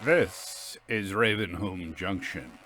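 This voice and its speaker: A man voicing a genial gentleman in an old story, with keen, confiding, old-fashioned speech. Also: low-pitched, slow, slight background noise, exaggerated voice. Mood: grave, explanatory.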